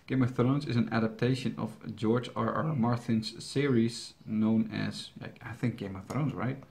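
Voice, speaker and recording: A man's voice: mid-range voice, steady tone, unhurried, vocal fry, darkish tone. A young Dutch man, whose first language is Dutch, answering viewer questions in English in a rambling, hesitant, joking way. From Netherlands. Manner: rambling, hesitant, with frequent fillers and restarts, joking. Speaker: YouTube reaction channel host.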